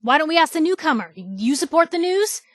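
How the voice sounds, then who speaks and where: An actress playing a feisty, wry young newspaper reporter in a video game, with an American accent and a conversational, sardonic delivery. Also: female voice, high-pitched, sing-song, fairly bright tone, no room sound, clean studio sound. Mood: defiant. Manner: sardonic, conversational, quick-witted asides. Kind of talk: video game companion dialogue.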